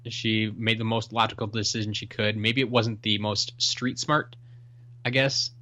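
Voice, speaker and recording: A man's voice: lowish voice, level tone, moderate pace, sharp tone. A man co-hosting a casual podcast, reading listener emails and chatting with many restarts and fillers. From Washington State, US. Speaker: podcast co-host.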